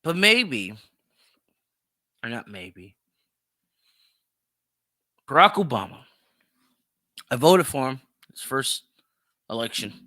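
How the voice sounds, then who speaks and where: A man's voice: medium pitch, sing-song, slow, long pauses, sharp tone, no room sound, clean studio sound. A man giving opinionated solo commentary as a podcast host, emphatic and repeating phrases for stress.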